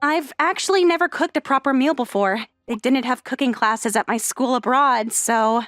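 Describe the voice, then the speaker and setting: A woman playing a poised, sheltered high school girl in an anime-style comic dub, speaking with composed, polite earnestness. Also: moderate pace, a bit bright, soft background music. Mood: earnest.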